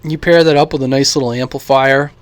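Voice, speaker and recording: A man's voice: mid-range voice, steady tone, brisk, clear, resonant tone, no echo. An American man giving a casual, opinionated vlog monologue in a blunt, informal, rambling way, as a radio hobbyist. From United States.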